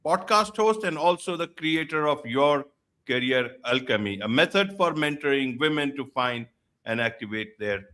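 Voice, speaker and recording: A man's voice: mid-range voice, animated, normal speed, sharp tone. A man hosting an online interview show, speaking formally and repeating his welcomes. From India. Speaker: show host.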